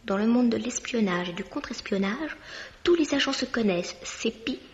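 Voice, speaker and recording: An actress playing a persistent young amateur sleuth in a 1950s French radio mystery, with an eager, probing but polite manner. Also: female voice, on the high side, expressive, normal speed. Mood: curious, brisk. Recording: dull vintage radio recording.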